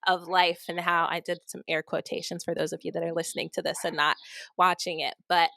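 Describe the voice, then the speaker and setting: A woman's voice: fairly low pitch, flat delivery, a little fast, creaky, pushed and bright, no room sound. A woman hosting a podcast, chatting in an effusive, gushing way with lots of "like" fillers. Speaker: podcast host. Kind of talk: casual interview chat.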